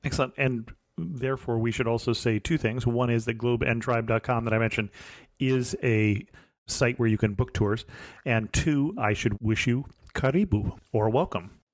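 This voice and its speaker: A man's voice: medium pitch, moderate pace, no echo. A man hosting a travel podcast, reading sponsor spots and interviewing a guest in an upbeat way. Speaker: podcast host.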